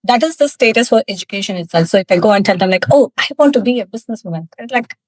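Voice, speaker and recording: A woman from South India whose first language is Tamil, telling her personal story as a guest in a podcast interview, candid, with frequent laughs and halting fillers. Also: mid-range voice, lively intonation, dry recording. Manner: candid, laughs often, pauses with many fillers. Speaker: guest, cosmetics founder.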